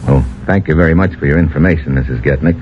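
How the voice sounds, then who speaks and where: A man's voice: a bass voice, fast talker, slight background noise. An actor playing a tough, wisecracking American investigator who narrates his own adventure in old radio drama style. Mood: questioning. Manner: wry, hard-boiled, clipped present-tense storytelling. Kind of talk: 1950s radio adventure acting. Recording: muffled old radio drama, music behind.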